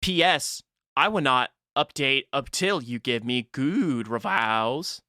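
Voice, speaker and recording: A woman hosting a podcast, animated and jokey while chatting and reading fanfiction aloud. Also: a bass voice, slow pace, no room sound, studio quality. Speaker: podcast host. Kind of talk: casual chat and fanfiction read-aloud.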